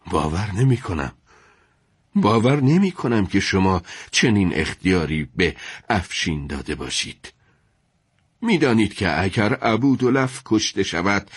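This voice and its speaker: A man's voice: lowish voice, very animated, breathy edge, no echo, studio quality. A man playing an anxious, wise judge in a dramatised historical story, grave, formal and deferential in manner. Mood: worried. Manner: grave, formal, worried delivery.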